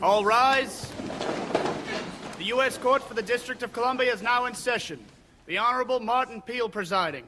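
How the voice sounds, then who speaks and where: A man's voice: very high voice, normal speed, pushed and bright, slight background noise. A man playing a ceremonial court clerk making formal, declamatory proclamations in a radio drama. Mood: ceremonial, proclaiming.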